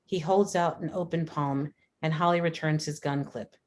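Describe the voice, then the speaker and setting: A woman reading scripted stage directions as a narrator, in a measured, descriptive manner. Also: low voice, steady tone.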